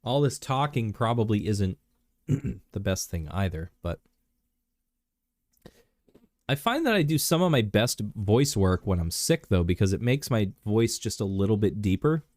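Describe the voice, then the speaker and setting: Male streamer, low voice, under the weather, rambly casual chat with lots of ums, dry close mic. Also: sing-song, normal speed, darkish tone, no echo. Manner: rambling, many fillers and restarts. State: sick, clearing throat.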